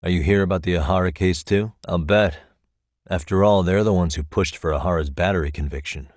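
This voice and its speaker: A male actor voicing a composed, determined private detective in a video game, with a measured, probing delivery. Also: deep voice, dry recording, studio quality. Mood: matter-of-fact. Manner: measured, probing, matter-of-fact. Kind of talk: English video game dialogue dub. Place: dry recording studio.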